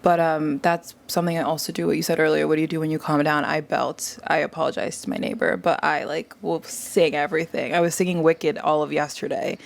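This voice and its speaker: A young woman, a guest in a casual conversation, talking in an animated, chatty way and quick to agree. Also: low-pitched, creaky. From New York area.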